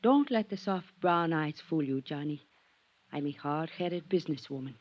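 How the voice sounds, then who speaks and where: A woman playing a guarded, alluring, hard-headed businesswoman in a 1950s radio drama, with a poised, coy, measured manner. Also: low-pitched, animated. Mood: guarded, cool. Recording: dull vintage radio recording.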